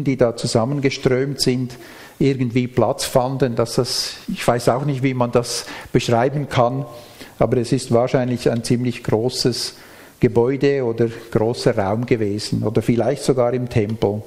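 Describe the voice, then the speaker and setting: A man speaks as a preacher in a medium-pitched voice. He delivers a sermon, moving through scripture with a measured, explanatory manner. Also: fairly even intonation, a little mellow. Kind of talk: sermon with Bible reading and explanation.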